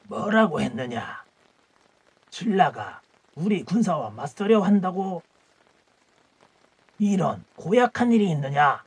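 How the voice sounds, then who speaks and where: An imperious emperor roars his outrage in a raised, husky voice, as a male storyteller puts him on. He speaks slowly and deliberately, with a dry, close sound. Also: high voice, sing-song, no echo, voice pushed up, full character voice. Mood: indignant. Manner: haughty, indignant outbursts. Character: angry Tang emperor, older man, imperious.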